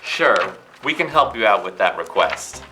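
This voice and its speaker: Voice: mid-range voice, big pitch swings, normal speed, music in the background, lightly acted. A female performer playing a helpful, agreeable archer in a children's reader's theater play.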